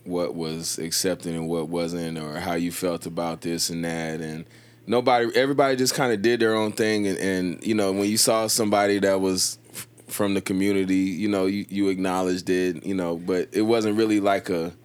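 A man in his thirties, a podcast guest, telling a story in casual conversation in a thoughtful, measured way. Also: low voice, steady tone, smooth. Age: 30s.

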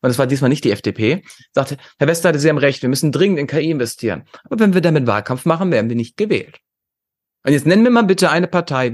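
A man from Germany talking as a podcast guest, giving opinions emphatically and outspokenly with rhetorical questions. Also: on the high side. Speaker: podcast guest, conference founder. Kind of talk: opinionated interview talk.

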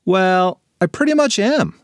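A man's voice: sits high, lively intonation, a little slow, dry recording, studio quality, voice pushed up. A man reading a children's story, voicing a lazy, cheeky boy who loves TV.

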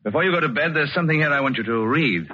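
An actor playing a cold, sardonic, domineering husband in 1940s radio suspense style, commanding and deliberate. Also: male voice, a little high, lively intonation, slight background noise, lightly acted. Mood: mocking. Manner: smooth menace, commanding, deliberate phrasing. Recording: muffled old radio recording, music behind.

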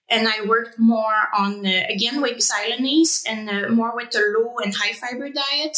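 A woman's voice: on the high side, pushed and bright, roomy sound, poor audio. A young woman from Quebec, a native French speaker with a French Canadian accent, talking conversationally as an interview guest. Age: young adult. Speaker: podcast guest, researcher.

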